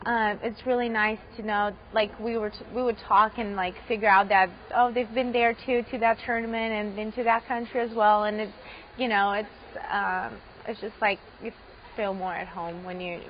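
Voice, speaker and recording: A woman's voice: mid-range voice, little pitch movement, moderate pace. A young woman from Romania, a college tennis player, answering interview questions in a chatty way full of "like" and "you know". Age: young adult. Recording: slightly dull, faint hiss.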